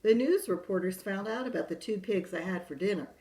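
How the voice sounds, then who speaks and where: A woman's voice: mid-range voice, brisk, warm tone, close to an everyday voice. A woman voicing a sly, self-pitying male wolf who tells his side in a chatty, confiding way, in a roomy space. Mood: protesting innocence. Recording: roomy echo.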